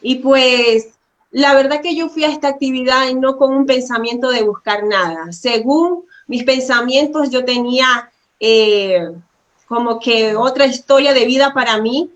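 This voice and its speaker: A woman's voice: sits high, measured pace, muffled recording. A woman, a pastor's wife and program guest, sharing personal testimony in a courteous, devout, conversational way with frequent tag questions. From Venezuela.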